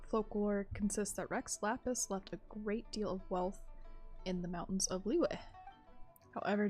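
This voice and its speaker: Playful woman streamer with a mid-pitched voice. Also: a little mellow. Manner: playful, chatty, thinking aloud. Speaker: live streamer. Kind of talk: casual game stream chat and reading aloud. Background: faint game music.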